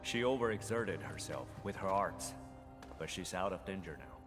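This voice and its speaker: An actor playing a stoic, terse military operative in a video game, speaking formally and bluntly. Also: male voice, deep voice, lively intonation, normal speed, over music. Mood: grave, calm.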